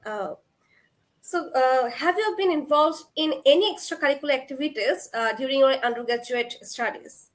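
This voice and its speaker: A woman with a high voice hosts an online webinar. She asks formal, polite questions over a video call. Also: moderate pace. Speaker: host. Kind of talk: webinar interview.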